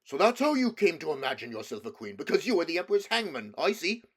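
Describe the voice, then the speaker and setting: A blunt talking beaver performed by a man protests in a voice pushed high and loud. He is an indignant, sarcastic storybook animal. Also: big pitch swings, fast, husky. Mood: scornful.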